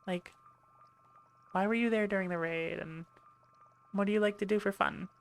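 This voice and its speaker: A woman's voice: mid-range voice, steady tone, moderate pace, lightly acted. A woman playing a chatty, teasing and reassuring medic aboard an alien warship in an ASMR roleplay. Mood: calm reassuring. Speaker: audio roleplay voice actor. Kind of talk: ASMR roleplay, one-sided conversation.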